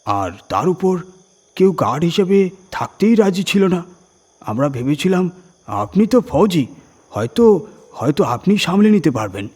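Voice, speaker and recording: A man voicing a guilt-ridden male head clerk, halting and apologetic, confessing a dark secret in a horror story. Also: sits high, very animated, voice pushed up, full character voice. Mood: apologetic, ashamed. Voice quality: husky, trembling voice.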